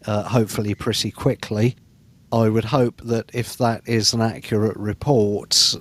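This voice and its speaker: A man on a podcast panel, giving measured commentary in formal, precise wording. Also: lowish voice.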